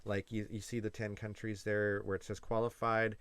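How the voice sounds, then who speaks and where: A young man from Canada talks through his reactions in a loose, chatty way, hedging and restarting as he weighs his opinions. His voice sits low and comes across smooth and resonant. The sound is close and dry, with no room around it. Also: monotone, moderate pace, a bit bright, no room sound. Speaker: YouTube reaction host. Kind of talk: casual reaction commentary.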